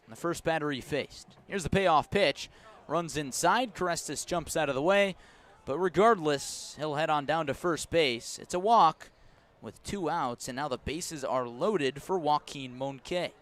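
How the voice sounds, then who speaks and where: An American man doing live radio baseball play-by-play from a ballpark, slipping between pitch calls and conversational asides. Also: a little slow, hardly any pauses, fairly bright tone. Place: outdoors at a ballpark.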